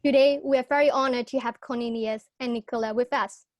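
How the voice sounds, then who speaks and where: A woman's voice: fairly high pitch, fairly even intonation, brisk, muffled recording, slight background noise. A young woman co-hosting a podcast interview, asking friendly, casual questions through wired earphones on a video call. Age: young adult. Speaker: podcast co-host. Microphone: wired earphone mic on video call.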